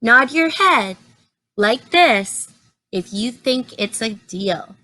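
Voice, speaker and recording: A woman's voice: a little high, expressive, takes it slowly, bright, forceful tone, full character voice. A woman voicing a determined, easily annoyed male bear in a children's storybook, speaking emphatically. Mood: bargaining. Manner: emphatic, exclaiming and sighing.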